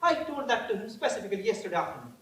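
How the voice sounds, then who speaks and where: A performer plays a Victorian gentleman guardian in stage comedy. The manner is formally polite yet stubborn and fussily indignant, a little halting. The voice sits far from the microphone, swimming in heavy echo. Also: medium pitch, big pitch swings. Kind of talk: theatrical stage comedy acting. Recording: very echoey distant stage play recording.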